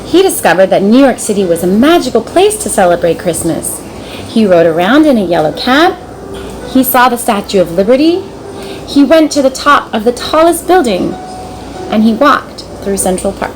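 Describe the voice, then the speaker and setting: Female storybook reader, high-pitched, dramatic and expressive, with some background noise. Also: normal speed, rough recording. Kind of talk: expressive scripted children's story narration.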